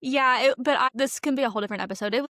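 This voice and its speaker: Young woman podcast host, kinda high voice, super slangy and dramatic, real dry sound. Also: rapid-fire, a bit bright, no room sound. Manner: gushing, slangy, dramatic emphasis. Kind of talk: casual chat.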